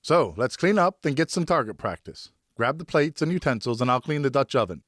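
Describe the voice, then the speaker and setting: A man's voice: medium pitch, lively intonation, fairly quick, a bit bright, no room sound, studio quality, voice pushed up. A man voicing a genial French father and former officer, encouraging and instructive, in an audiobook. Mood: brisk, encouraging. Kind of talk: audiobook character dialogue.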